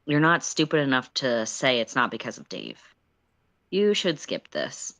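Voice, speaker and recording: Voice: medium pitch, huge pitch swings, unhurried, fairly bright tone, no echo. Dry-room narrator reading stories aloud, playful. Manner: self-deprecating, playful asides when slipping up. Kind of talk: livestream story reading with casual asides.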